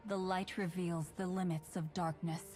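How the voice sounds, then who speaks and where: An actress playing a stern, righteous warrior of light in a video game, solemn and commanding. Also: female voice, fairly low pitch, little pitch movement, normal speed, darkish tone, soft background music, close to an everyday voice. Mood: stern, defiant. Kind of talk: scripted video game voice lines.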